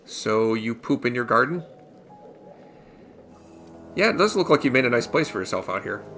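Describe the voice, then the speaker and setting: A man hosting a casual let's play, his voice gravelly as he fights a cold, talking into a desktop microphone. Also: medium pitch, animated, moderate pace, sharp tone. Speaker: gaming channel host. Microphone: USB desktop condenser microphone. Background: game music.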